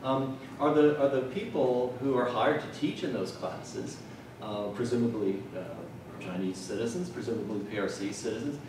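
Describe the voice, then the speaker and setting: Middle-aged male panelist, measured and hesitant, distant roomy sound with audible noise. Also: mid-range voice. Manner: measured, with frequent ums. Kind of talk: academic panel comment. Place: lecture room, seated at a table. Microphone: table microphone. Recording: echoey room, distant mic, noise.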